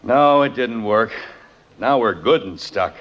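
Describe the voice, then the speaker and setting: A man's voice: on the low side, animated, takes it slowly, lo-fi sound. An actor playing a flustered, sarcastic family father in a 1950s radio sitcom, from an old broadcast. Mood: grumbling. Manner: exasperated, sarcastic. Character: flustered middle-aged family father, quick to grumble.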